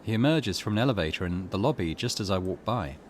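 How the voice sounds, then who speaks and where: A man's voice: fairly low pitch, animated, fast talker, a little hiss or hum. A man hosting a gaming channel, reading game text aloud and adding musing commentary, with faint game ambience behind him.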